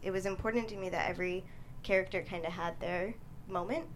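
A woman answering interview questions casually as a screenwriter, in a halting, thoughtful way. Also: lowish voice, fairly even intonation, slight background noise. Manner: hesitant, thoughtful, halting delivery. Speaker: screenwriter guest being interviewed.

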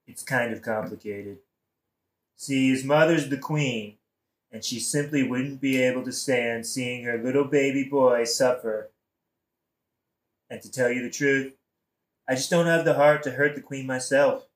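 In a roomy echo, a man acts a scheming king from a modern satirical audio drama. He is medium-pitched, casual and confiding, full of placating false sympathy. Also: steady tone, a little slow, long pauses, lightly acted. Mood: confiding, evasive. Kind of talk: modern-language satirical audio play acting.

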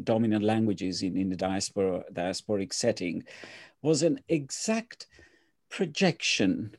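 An interviewer asking questions in a hesitant, careful way, with many pauses and fillers. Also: medium pitch, mellow. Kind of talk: conversational interview.

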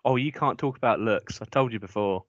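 Teasing Northern English bloke. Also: male voice, on the low side, no room sound. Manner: teasing, quick comebacks. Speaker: podcast co-host. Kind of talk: casual banter. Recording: dull lo-fi dry sound.

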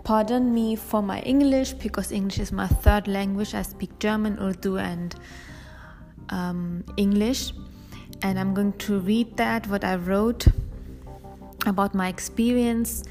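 A woman's voice: medium pitch, fairly even intonation, unhurried, darkish tone, in a large hall, soft background music. A woman hosting her own show, reading a personal account aloud from handwritten notes in a careful, earnest way, sometimes restarting a phrase. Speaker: podcast host.

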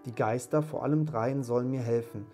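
A man's voice: mid-range voice, brisk, mellow, faint music behind, natural voice. A man voicing a reformed old miser from a storybook, exclaiming with great emotion and emphasis. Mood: pleading. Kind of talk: dramatised storybook reading.

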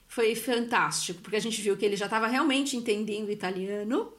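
A woman from Brazil, living abroad, telling a family story in a relaxed, animated way, often laughing and acting out what others said. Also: medium pitch, a little fast. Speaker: guest. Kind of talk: casual conversational storytelling. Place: Italy.

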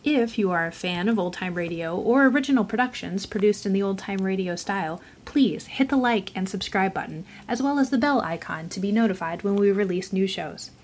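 Female announcer, medium pitch, scripted radio narration, old-time radio show sign-off style. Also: lively intonation, brisk, few pauses, a little mellow, a little hiss or hum.

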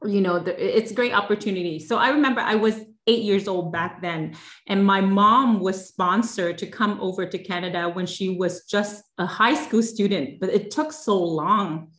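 A woman's voice: medium pitch, normal speed, a bit bright, echoey room. A woman in her forties, originally from Vietnam, telling her life story as an interview guest in a reflective way with many fillers and restarts. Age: 40s. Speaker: guest, life and business coach.

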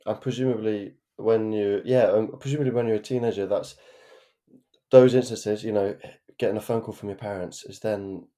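Male podcast host, very low voice, dark mellow tone, casual and empathetic. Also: steady tone, normal speed. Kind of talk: casual interview chat.

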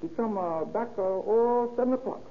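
Man playing high-pitched, nervous Japanese immigrant. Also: moderate pace, faint music behind, lightly acted. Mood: hesitant, deferential. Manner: hesitant, deferential, broken English. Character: polite, nervous Japanese immigrant man being questioned by police. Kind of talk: old-time radio acting. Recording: noisy, tinny old radio recording.

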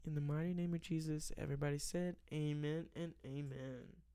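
A raspy, weary late-night voice at a middle pitch, its gravelly fry sitting under a smooth, resonant core. The speaker hosts a casual faith podcast, rambling and restarting with plenty of fillers. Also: steady tone, normal speed, mellow. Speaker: podcast host.

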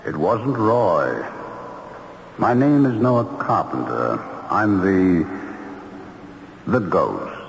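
An actor playing a calm, principled male ghost in a vintage radio drama, speaking formally and with quiet menace. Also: deep voice, gravelly, exaggerated voice. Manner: measured, formal, well-spoken. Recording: dull, noisy old radio, echoey hall reverb.

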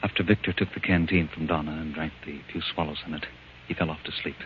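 A man's voice: a bass voice, fairly even intonation, fast talker, a little hiss or hum. An actor playing an anxious, protective man with a guilty secret in 1940s radio drama style. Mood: confessing. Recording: muffled, humming old radio recording.

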